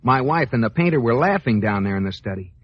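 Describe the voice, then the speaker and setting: An actor playing a fretful, jealous husband with hesitant, stammering complaints, in mid-century radio comedy style. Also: male voice, medium pitch, animated, fast, natural voice. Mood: jealous, sulky. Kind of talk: 1940s-50s radio comedy acting. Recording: muffled old radio broadcast.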